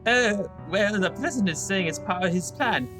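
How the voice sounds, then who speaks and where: A man's voice: sits high, normal speed, music in the background, lightly acted. A man playing a timid, anxious scientist in an amateur audio drama, with a hesitant, fretful manner. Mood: helpful, hesitant.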